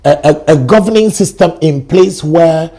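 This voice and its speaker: Ghanaian guy on a talk show, gravelly husky voice, kinda high, animated storyteller. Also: moderate pace. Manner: animated storyteller, acts out sounds and quotes. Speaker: guest, educator. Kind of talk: studio talk show conversation.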